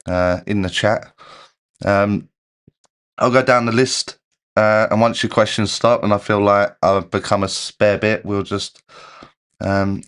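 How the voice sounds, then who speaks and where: A man hosting a live question-and-answer stream, speaking in a relaxed, rambling way, a little congested from a cold. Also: fairly low pitch. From United Kingdom. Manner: relaxed, rambling, checks audio as he goes. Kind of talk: casual live-stream chat.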